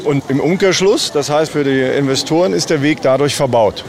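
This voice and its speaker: A man's voice: a little high, fairly quick. A male reporter asking probing questions in an outdoor interview. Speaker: radio reporter. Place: outdoors at a crowded stadium fest. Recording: crowd noise behind, outdoors.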